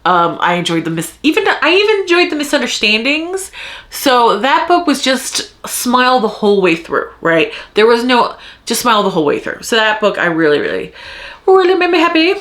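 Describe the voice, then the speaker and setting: A woman chatting casually to viewers as a book vlogger, thinking aloud and interrupting herself in an expressive, rambling way. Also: a little high, moderate pace. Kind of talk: casual chat to viewers, answering tag questions.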